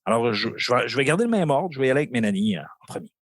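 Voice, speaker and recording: A man's voice: medium pitch, big pitch swings, rapid-fire, dry recording, clean studio sound. A man with a Québécois accent hosting a casual round-table podcast, joking and hesitant with many fillers. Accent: Québécois French. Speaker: podcast host.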